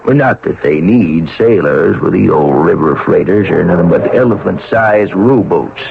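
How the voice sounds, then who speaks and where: A man's voice: sits low, lively intonation, normal speed, vocal fry, phone-quality sound, exaggerated voice. An actor playing a boastful, suspicious Egyptian sailor in a 1970s radio drama, with folksy, blustering working-man talk. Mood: wistful, loyal. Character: gruff, boastful, suspicious Egyptian sailor devoted to his queen. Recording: band-limited, noisy old radio recording.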